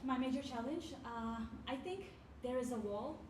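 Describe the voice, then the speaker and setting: Female panelist, measured and reflective, distant in a noisy echoey hall. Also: sits high, lively intonation. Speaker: nurse and panelist. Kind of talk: forum panel discussion. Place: community forum hall.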